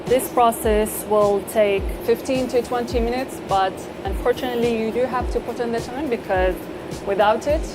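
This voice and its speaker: A woman chef from eastern Saudi Arabia, talking through a cooking demonstration in a kitchen with measured, gently encouraging explanations. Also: a little high, low-quality recording. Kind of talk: cooking demonstration with calm step-by-step instructions. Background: background music.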